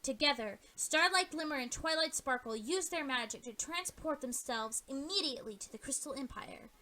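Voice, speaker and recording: A woman's voice: high-pitched, animated, moderate pace, bright, forceful tone. A woman narrating an amateur audio play, reading scripted story narration.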